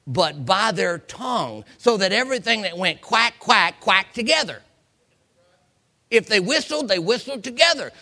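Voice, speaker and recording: A man's voice: high-pitched, pushed and bright. A man from the United States preaching an informal Bible lesson in a folksy way, repeating phrases to drive his point home. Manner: folksy, acts out examples and quotes. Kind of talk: informal Bible teaching sermon.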